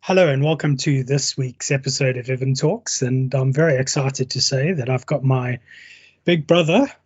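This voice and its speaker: A man's voice: mid-range voice, normal speed, husky, muffled recording, faint music behind. A man hosting a casual podcast interview with his brother, talking in an encouraging, conversational way. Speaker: podcast host. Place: England.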